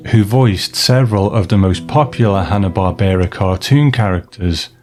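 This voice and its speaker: A man presenting scripted commentary as a podcast host, measured and explanatory in manner. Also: low voice, music in the background. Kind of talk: scripted commentary on classic television.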